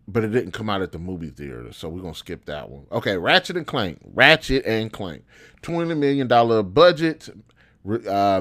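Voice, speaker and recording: A man's voice: fairly low pitch, fairly bright tone. A man hosting a show, giving casual, opinionated commentary in slangy, informal speech as he thinks aloud. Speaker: host of a show on video game movies.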